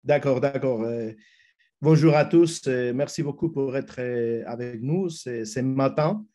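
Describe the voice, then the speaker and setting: A man with a Spanish accent, Spanish being his first language, giving a church webinar presentation over a video call, halting with many restarts. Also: mid-range voice, warm tone. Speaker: youth ministry speaker.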